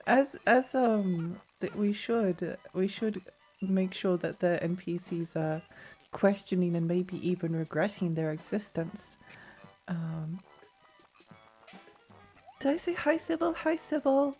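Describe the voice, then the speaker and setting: A woman streaming a video game, chatting casually with many fillers and little laughs, her voice a bit croaky. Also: on the low side, slow pace, mellow, faint music behind, rough recording. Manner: rambling, giggly, many fillers and restarts. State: slightly croaky voice. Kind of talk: casual live-stream chatter while gaming.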